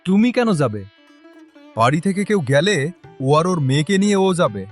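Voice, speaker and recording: A man's voice: high voice, sing-song, fairly quick, faint music behind. A man playing an arrogant, harsh husband in a cartoon story, commanding and indignant. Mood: angry. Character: harsh, arrogant husband banishing his wife. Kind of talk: cartoon moral story acting.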